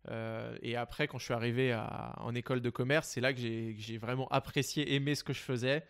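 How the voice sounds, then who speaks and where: A man's voice: mid-range voice, steady tone, brisk, a bit bright, smooth. A young man from the Paris region of France, a guest in an interview, telling his story casually with many fillers and restarts. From Seine-et-Marne, France. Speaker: guest investor.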